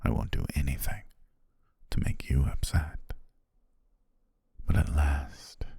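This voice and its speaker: A man playing a possessive shapeshifting naga who poses as a devoted servant, speaking gently and formally in an intimate ASMR roleplay, husky, breathy and often whispering. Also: rumbling low, dry recording, full character voice. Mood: tender, possessive, coaxing. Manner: slow, gentle, formal, coaxing.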